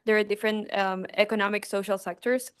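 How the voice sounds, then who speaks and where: Clear-voiced woman. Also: medium pitch, flat delivery. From Guayaquil, Ecuador. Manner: hesitant, pausing mid-sentence. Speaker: panel speaker. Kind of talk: personal unscripted panel remarks.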